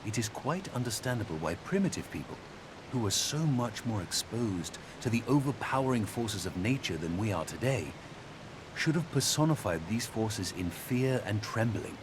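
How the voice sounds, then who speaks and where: A man acting as an earnest, measured debater in a game audio log, speaking deliberately and articulately. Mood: solemn, critical. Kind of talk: naturalistic game audio log dialogue and reading. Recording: steady rumbling background noise.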